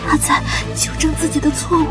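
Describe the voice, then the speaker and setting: An actress playing an anxious, loyal young woman in an animated drama. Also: sits high, a little fast, loud music behind the voice, natural voice. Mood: frightened, insistent. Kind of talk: Chinese animated drama dubbing.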